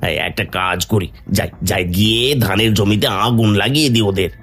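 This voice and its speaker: A man's voice: fairly low pitch, fairly bright tone. A man voicing a greedy, spiteful neighbour in a Bengali cartoon, wheedling and blustering. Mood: scheming, vengeful. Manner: whiny, wheedling, blustering, drawn-out interjections. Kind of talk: Bengali fairy tale cartoon dubbing. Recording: music under the voice.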